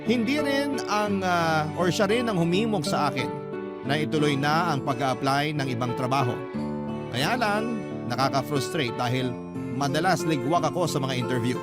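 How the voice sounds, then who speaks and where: A man's voice: on the high side, animated, normal speed, lightly acted. An actor playing a wistful young orphan who narrates his own love story for a radio drama, reflective and sentimental. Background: prominent guitar music.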